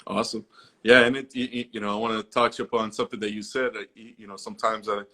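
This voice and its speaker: A man's voice: on the low side, level tone, fast, pushed and bright. A man hosting a casual interview, talking in a chatty way with many fillers and restarts. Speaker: host.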